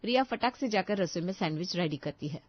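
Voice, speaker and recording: A woman's voice: on the low side, lively intonation, brisk, breathy edge, muffled recording. A woman storyteller narrating a scripted tale directly to listeners.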